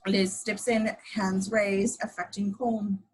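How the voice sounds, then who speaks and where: A woman's voice: medium pitch, little pitch movement, takes it slowly, darkish tone, slightly rough, rough recording. A woman reading scripted action description as narrator in an amateur table read of a screenplay.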